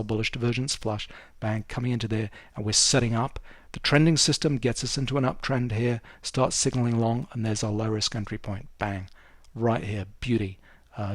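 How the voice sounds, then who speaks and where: A man's voice: mid-range voice, no echo, studio quality. A man giving a casual, emphatic market commentary as a trading instructor, talking through a screen share in an informal way.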